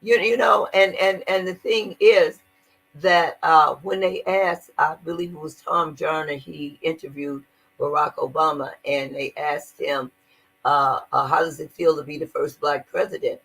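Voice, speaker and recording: Husky, earnest older woman. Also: low voice, fairly even intonation, unhurried, fairly bright tone, rough recording. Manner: earnest, emphatic, repeats words when stressing a point. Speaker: guest activist. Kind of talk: conversational interview.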